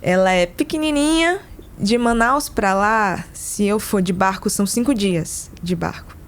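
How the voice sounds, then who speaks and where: A woman's voice: mid-range voice, a little slow. A woman from Amazonas with a Brazilian accent, giving casual personal testimony as a podcast guest. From interior of Amazonas, Brazil. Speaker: podcast guest, consecrated religious community member.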